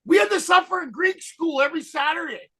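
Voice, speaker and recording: A man's voice: very high-pitched, fairly quick, pushed and bright. A man co-hosting a casual interview, playful and jokey, cutting in with questions. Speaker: podcast co-host.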